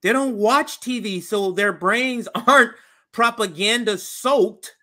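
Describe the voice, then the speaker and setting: An American man co-hosting a casual political show, talking in a halting, repetitive, wryly amused way. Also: sits high, sharp tone. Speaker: co-host.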